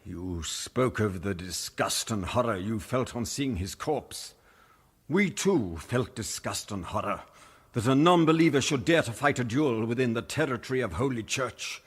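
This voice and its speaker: A man's voice: medium pitch, animated, unhurried, breathy edge. An actor playing a stern, pious Father Superior of a convent in a period radio drama, severe, imperious and formal. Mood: cold, righteous.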